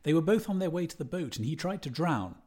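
An eager middle-aged man from an audiobook novel, voiced by a male narrator. He is a little awkward and keen to please, pitched slightly high. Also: animated, fast, a little mellow.

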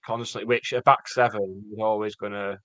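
A man's voice: fairly even intonation, a little fast, fairly bright tone, no echo. A man, co-hosting a football podcast in rambling casual chat. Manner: rambling, pitch jumps up and down. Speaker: podcast co-host.

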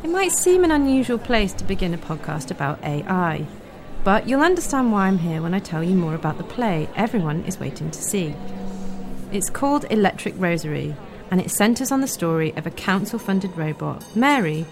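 A woman's voice: on the low side, big pitch swings, moderate pace, noisy background. A British woman hosting a podcast, speaking in a scripted narration style. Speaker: podcast host.

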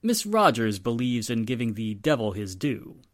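An actor playing a firm, courteous police inspector with a formal, authoritative manner in 1940s radio drama style. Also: male voice, mid-range voice, very animated, moderate pace, no room sound, studio quality, close to an everyday voice. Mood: stern, controlled.

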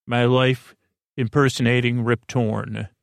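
A man with a creaky voice hosting a sleep podcast, rambling in a lulling, hesitant way as he wanders through tangents, repeating and interrupting himself. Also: mid-range voice, flat delivery, takes it slowly, dry recording, clean studio sound. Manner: lulling, soothing delivery. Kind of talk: rambling, tangent-filled bedtime podcast monologue.

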